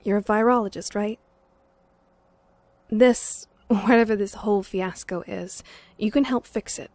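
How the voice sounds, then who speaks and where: A woman voicing a calm, caring, gently insistent campmate in a fan audio drama, earnest and soothing. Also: mid-range voice, a little slow, no room sound, lightly acted. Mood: earnest urging.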